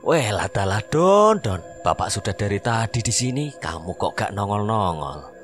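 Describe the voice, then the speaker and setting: A man's voice: lowish voice, big pitch swings, normal speed, fairly bright tone, faint music behind, voice pushed up. A man voicing a jovial middle-aged male rickshaw driver with a Javanese accent, joking and chummy.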